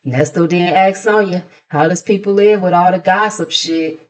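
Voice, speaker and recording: Gossip channel host: American woman, slightly low-pitched, sassy and casual, dropping mocking asides into her recap. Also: normal speed.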